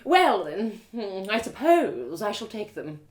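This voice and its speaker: Woman putting on a pompous, fussy older gentleman, swooping pitch. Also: very animated, slow. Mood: pondering. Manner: affected, exclamatory. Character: fussy, pompous older male boot customer, snobby dandy.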